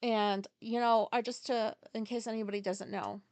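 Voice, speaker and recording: A woman's voice: on the high side, steady tone, normal speed, darkish tone, no echo. A middle-aged woman raised in Japan, hosting a solo podcast and venting in a profane, rambling way full of fillers and asides. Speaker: podcast host.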